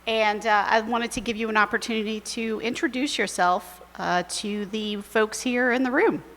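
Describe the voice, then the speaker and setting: A woman moderating a candidate forum, reading questions in a measured way with fillers. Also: fairly high pitch, fairly even intonation, fairly bright tone, long reverb tail.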